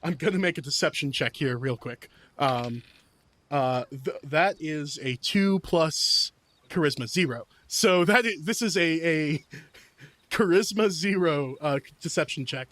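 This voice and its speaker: Male tabletop player, voice a touch high, casual improvised narration, conversational delivery. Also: a little slow, fairly bright tone, dry recording.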